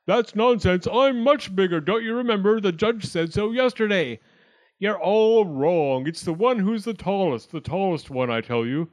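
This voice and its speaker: A tiny indignant acorn from a children's tale, played by a man, high and very animated. It boasts and bickers, stubbornly insisting it is right. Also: moderate pace, rough recording. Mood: indignant, boastful. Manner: argumentative, insistent, chattering.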